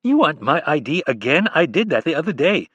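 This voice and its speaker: A man's voice: fairly high pitch, sing-song, fairly quick, fairly bright tone, breathy edge, no room sound, lo-fi sound, voice pushed up, exaggerated voice. A male narrator voicing an indignant middle-aged producer, flustered and huffy.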